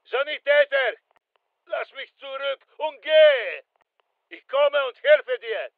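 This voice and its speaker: A man's voice: very high voice, takes it slowly, creaky, no echo, phone-quality sound, heavily acted. A male actor playing a terse special forces soldier in a military shooter game, giving clipped, commanding callouts. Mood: terse, businesslike.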